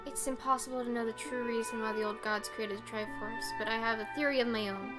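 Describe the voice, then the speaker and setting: A woman voicing an earnest, solemn young goddess-reborn heroine in a video game, measured and slightly halting. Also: fairly high pitch, fairly even intonation, close to an everyday voice. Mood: earnest explaining. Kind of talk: video game dialogue read-aloud. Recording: music behind the voice.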